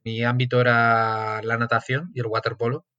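Spanish man, interview guest, flat measured talk, dull dry remote audio. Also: medium pitch, no room sound. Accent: Spanish (Castilian). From Castilla-La Mancha, Spain. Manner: thoughtful, many fillers and restarts. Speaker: guest, sports management lecturer. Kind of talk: conversational interview answers.

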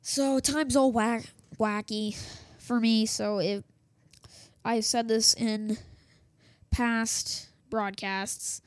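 A woman who hosts a small radio show talks in a rambling, casual way, full of fillers and restarts. Her voice is fairly high and getting worn as she loses it. The sound is dry and close, recorded at a desk in her home office. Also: fairly even intonation, drawn-out words, no room sound. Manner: chatty, self-interrupting, many fillers and restarts. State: losing her voice. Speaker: host of a school radio news show. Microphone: desktop setup with small soundboard.

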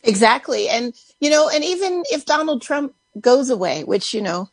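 A woman making an unscripted, earnest and measured fundraising appeal on live radio. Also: sits high, expressive, no room sound. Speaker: radio pledge-drive speaker.

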